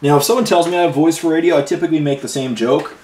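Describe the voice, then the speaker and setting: A man's voice: on the high side, very fast, a little mellow. A man chatting casually as he paints miniatures for a hobby video, rambling from one thing to the next with plenty of fillers. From Ireland and Canada. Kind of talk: casual chat while painting. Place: home hobby room. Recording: roomy echo, voice a bit far from mic, faint noise.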